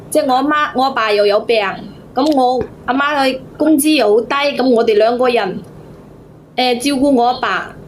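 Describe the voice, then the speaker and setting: High-voiced Cantonese woman, halting and plaintive. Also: slight background noise. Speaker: phone-in caller. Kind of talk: casual personal storytelling to a host.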